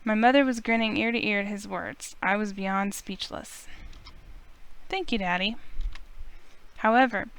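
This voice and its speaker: A woman's voice: mid-range voice, unhurried, long pauses, a bit bright, clear, resonant tone. A woman playing an earnest, anxious young heiress, narrating her own story in a visual novel. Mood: reflective, tense. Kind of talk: scripted first-person visual novel narration.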